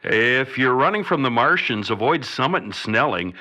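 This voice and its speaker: An actor playing an unflappable radio traffic reporter, breezy and deadpan in the middle of an alien invasion. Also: male voice, mid-range voice, moderate pace, faint music behind. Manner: deadpan, folksy.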